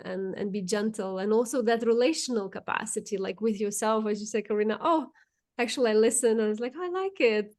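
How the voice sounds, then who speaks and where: A woman coach hosts a relaxed conversation at a middle pitch. Her speech flows in long, repeating sentences, full of fillers and restarts as she searches for words. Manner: flowing, repetitive, many fillers and restarts. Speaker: podcast host, coach. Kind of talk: casual chat.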